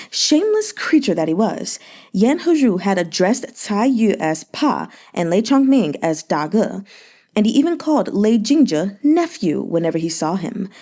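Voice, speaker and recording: A woman reading a novel aloud for a read-along, in a steady, measured voice. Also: medium pitch. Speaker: audiobook reader.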